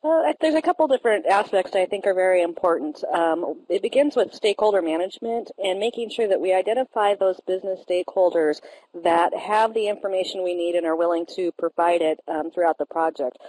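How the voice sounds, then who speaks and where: A woman speaking as a panelist, a business analyst and project manager who explains her points in a measured way with occasional fillers. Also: lowish voice, darkish tone, low-quality recording. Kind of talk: professional panel discussion answer.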